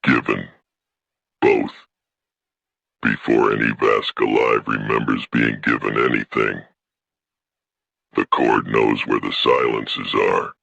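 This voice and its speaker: A man playing an old, weary alien elder who speaks in solemn, stern, formal declarations with a gravelly creak, in a science fiction audio story. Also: very deep voice, flat delivery, slow pace, long pauses, fairly bright tone, no echo, highs cut off, exaggerated voice.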